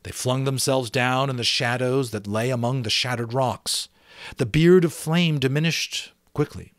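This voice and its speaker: A man's voice: mid-range voice, a little slow, dry recording, studio quality. A middle-aged man reading a story aloud as an amateur narrator, in a dramatic, theatrical style. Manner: theatrical, expressive.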